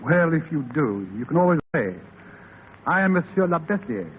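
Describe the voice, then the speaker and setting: A man playing a suave, persistent gentleman traveller with a smooth, courteous manner in a 1930s radio drama. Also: on the high side, sing-song, normal speed. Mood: courteous, forward. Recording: tinny, noisy 1930s radio broadcast.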